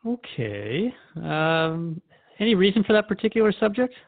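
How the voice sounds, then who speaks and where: A man's voice: sits high, big pitch swings, normal speed, no room sound, phone-quality sound. A man playing a thoughtful, friendly radio host who asks probing questions in a conversational radio drama interview. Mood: attentive.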